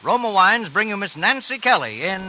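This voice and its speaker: A man's voice: high-pitched, sing-song, moderate pace. A man announcing in a formal, declamatory 1940s radio style. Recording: old radio broadcast under loud music.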